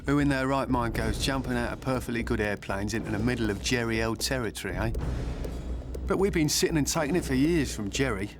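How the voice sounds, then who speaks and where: A man's voice: mid-range voice, brisk, lightly acted. An actor playing a young British paratrooper with a British accent, telling his war story with chatty, wry humour in a game cutscene. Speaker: paratrooper narrator. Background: war score music.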